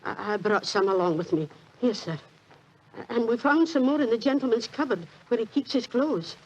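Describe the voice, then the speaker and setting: An actress playing a humble, kindly old British landlady, husky, deferential and hesitant, in 1940s radio drama style. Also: a little high, lively intonation, normal speed, darkish tone. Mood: anxious, earnest. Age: older. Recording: dull 1940s radio recording.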